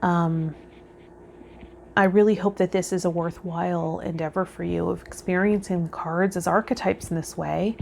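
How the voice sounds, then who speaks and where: A woman hosting a vlog as a tarot reader, talking to viewers in a gentle, reflective way and offering spiritual guidance. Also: fairly low pitch, fairly even intonation, moderate pace, gravelly, slight background noise. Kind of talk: casual spiritual guidance talk to viewers, partly read out.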